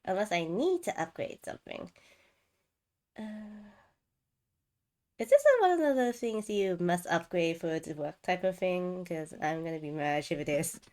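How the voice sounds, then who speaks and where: A woman's voice: fairly low pitch, lively intonation, normal speed, darkish tone. A woman hosting a game livestream, chatting casually and rambling as she thinks aloud. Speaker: game streamer, host.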